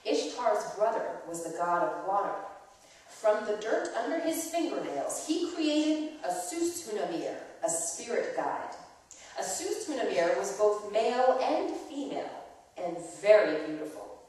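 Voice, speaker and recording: A woman telling a myth aloud in a dramatic reading, expressive and explanatory, as if to children. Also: medium pitch, unhurried. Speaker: storyteller. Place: church, performed live before listeners. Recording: very echoey church hall, distant microphone.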